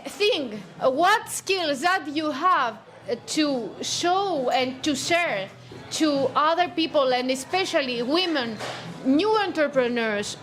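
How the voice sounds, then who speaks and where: A woman moderating a roundtable, speaking slowly with many pauses and fillers, her first language Greek. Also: sits high, fairly bright tone. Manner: slow and halting, many pauses. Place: cigar bar, live event.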